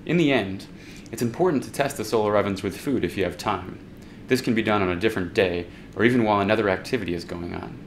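A young man with a fairly low voice talks to camera in a classroom. He explains steps casually, with a few ums and restarts. A faint hum of room noise sits underneath. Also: fast talker. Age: young adult. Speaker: instructor. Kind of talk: instructional talk to camera. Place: indoor classroom.

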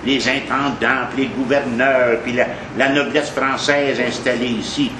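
A man's voice: mid-range voice, fairly even intonation, a little slow. A man with a Quebec French accent giving an informal history talk in a café, emphatic and conversational, repeating points for weight. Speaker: history lecturer. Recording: echoey café, noisy background.

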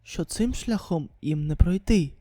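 Woman doing a live manga dub, mellow voice, close dry sound, acts stuff out. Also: on the low side, animated, a little slow, dry recording. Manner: dramatic, voices sound effects aloud. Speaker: manga dubber. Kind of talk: dramatized manga read-aloud, acting every character and sound effect.

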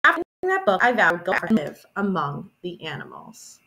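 A young woman reading a children's picture book aloud in an expressive, deliberate teacherly storytelling manner. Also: mid-range voice. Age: young adult. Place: small room at home, indoors.

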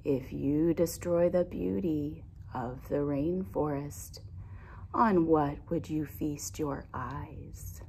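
Lazy female storybook sloth, played by a woman: deep, pensive, very slow drawl. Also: mellow, exaggerated voice. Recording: clear background noise.

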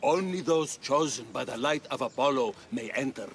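Gravelly, curt temple guard played by a man. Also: mid-range voice, expressive, normal speed, slight background noise, lightly acted. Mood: stern. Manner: curt, formal. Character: curt, officious ancient Greek temple guard. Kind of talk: video game cutscene acting.

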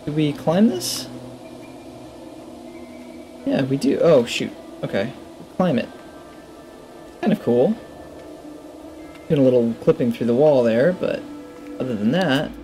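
Low-key male gamer over game music. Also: animated, slow pace, long pauses, mellow, poor audio. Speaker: gamer, let's play host. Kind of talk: casual game commentary, thinking aloud. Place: indoor room at a gaming setup. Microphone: headset.

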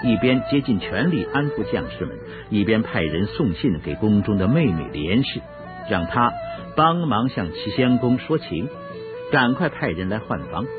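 Male narrator, low voice, sweeping dramatic intonation, scripted storytelling, music behind, narrow phone-like sound. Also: very animated, normal speed. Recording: muffled radio recording with music. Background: clear background music.

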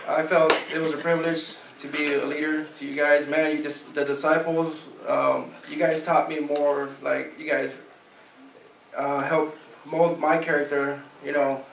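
A young man giving a nervous, halting graduation speech on a church stage, thanking his leaders on a handheld mic. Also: a little high, flat delivery, gravelly, a bit bright. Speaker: program graduate. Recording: echoey church hall, far off, noisy.

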